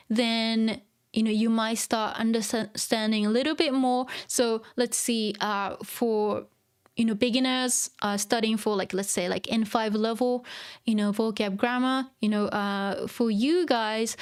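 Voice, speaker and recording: A woman's voice: on the high side, clear, resonant tone. A Japanese woman teaching her native language in English on a casual livestream, chatty and encouraging, with many fillers. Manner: chatty, full of "you know" and "um", encouraging. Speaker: Japanese teacher streaming a manga reading.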